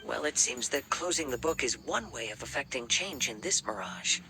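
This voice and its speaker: A man playing a polite, composed young male adventurer in an anime game, measured and analytical. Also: mid-range voice, expressive, over music, natural voice. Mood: calm. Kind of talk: anime game character acting.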